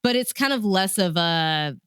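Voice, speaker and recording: Woman with a smooth, lowish, dry voice. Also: expressive, unhurried, a bit bright, dry recording. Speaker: radio DJ and host, interview guest. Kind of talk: casual conversational storytelling.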